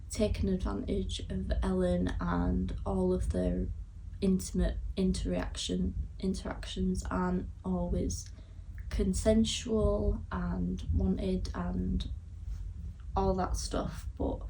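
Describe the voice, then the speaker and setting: A woman's voice: mid-range voice, creaky, dark tone. A young woman with a Yorkshire accent giving a casual, somewhat hesitant film review to camera, recorded at a distance from the mic. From Yorkshire, England. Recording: distant mic with faint background noise.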